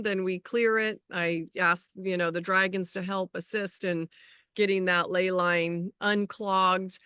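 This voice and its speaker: A middle-aged woman co-hosting a casual spiritual chat as an energy healer, talking in a rambling, halting way as she searches for words. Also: mid-range voice, fairly bright tone, smooth, no room sound, clean studio sound.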